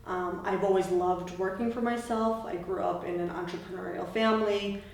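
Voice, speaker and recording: A woman's voice: on the low side, fairly even intonation, moderate pace. A woman from New York City who owns a studio, talking easily in an interview. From New York City, USA. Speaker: studio owner. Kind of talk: interview talk. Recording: very echoey, from far off.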